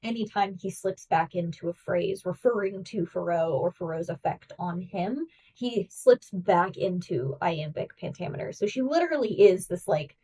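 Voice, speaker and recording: A woman's voice: lowish voice, animated, moderate pace, hardly any pauses, warm tone, slightly rough, recorded from a distance, rough recording. A woman guest-hosting a podcast, talking through ideas in an eager, analytical way with lots of fillers. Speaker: guest host. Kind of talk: casual podcast discussion.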